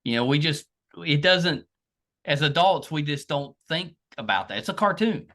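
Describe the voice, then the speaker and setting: Bright, lively low-pitched woman interviewer. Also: normal speed. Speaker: podcast interviewer. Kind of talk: casual conversational interview.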